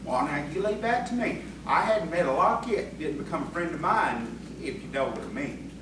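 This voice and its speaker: A man's voice: fairly high pitch, animated, normal speed, close to an everyday voice. A man acting in audio theatre as a sly, placating rustic crony with folksy country phrasing. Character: rustic sly crony, placating his hot-headed boss. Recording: echoey, distant, noisy stage recording.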